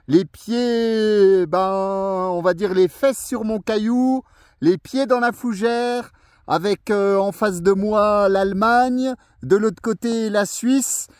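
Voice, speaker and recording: A man's voice: high-pitched, takes it slowly, darkish tone, dry recording, clean studio sound. A man chatting in a friendly way as a portable radio operator, his voice coming through a radio transmission. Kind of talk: friendly radio contact chat. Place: outdoors on a mountain, heard over radio.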